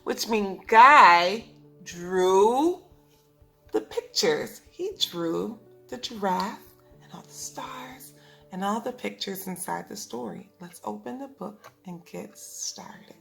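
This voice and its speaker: A woman teaching preschoolers, reading a picture book aloud in an instructive way, speaking clearly to children and asking them questions. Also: mid-range voice, lively intonation, slow, bright, forceful tone, soft background music. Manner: instructive, asks the listeners questions, enunciates for children. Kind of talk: read-aloud lesson for young children.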